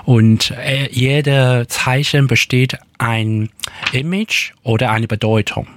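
A man from Taiwan with a Taiwanese accent, whose native languages are Hokkien and Mandarin, speaking in a radio interview with many fillers and pauses while he searches for words. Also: medium pitch, no echo, studio quality. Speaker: radio guest.

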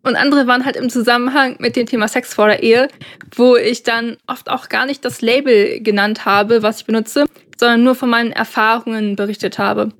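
A young woman co-hosts a podcast conversation. Her voice sits a little above average in pitch. She speaks earnestly and carefully. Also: fairly even intonation, brisk. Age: young adult. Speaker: podcast co-host.

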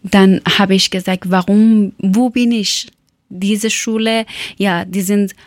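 Slow, halting woman from Iran. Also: mid-range voice, steady tone, no echo, clean studio sound. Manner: hesitant, searching for German words, many fillers. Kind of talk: personal account.

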